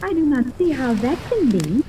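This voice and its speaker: A woman's voice: high voice, very animated, normal speed. A formal young captive lady from an old radio serial, lilting voice, noisy old recording. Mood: earnest, explanatory. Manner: formal, precise, explanatory. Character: Joan, earnest captive daughter on a strange island. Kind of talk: 1930s radio serial acting.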